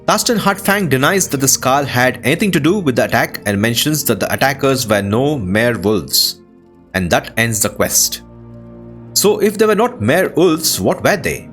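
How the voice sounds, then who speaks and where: A man narrates a video game playthrough in a casual, expressive way. His medium-pitched voice moves up and down a lot and sounds full of wonder. New-age music plays clearly behind him. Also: moderate pace. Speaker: host of a game playthrough channel.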